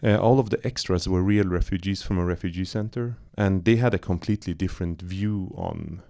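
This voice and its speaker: A man's voice: low voice, a little fast, gravelly, warm tone. A man from Iran, a film director, talking loosely in a post-screening Q&A, searching for words with many fillers and restarts. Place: cinema auditorium.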